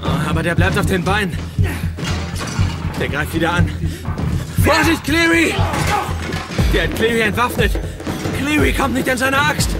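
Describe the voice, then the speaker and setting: A jumpy male lookout, calls out a fight in short exclaiming bursts. His voice is very high and animated, in audio drama style, under loud dramatic music. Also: heavily acted. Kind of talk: German audio drama acting.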